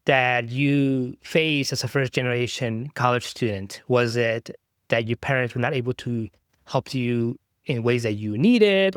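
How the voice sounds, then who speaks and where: A man's voice: mid-range voice, smooth, no room sound, studio quality. Earnest male podcast host. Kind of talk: conversational interview.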